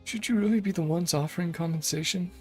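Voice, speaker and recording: A man's voice: high-pitched, fast talker, darkish tone. A man streaming a game, chatting casually and reading the dialogue aloud in his own voice with frequent chuckles. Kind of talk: casual live-stream commentary. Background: faint game music.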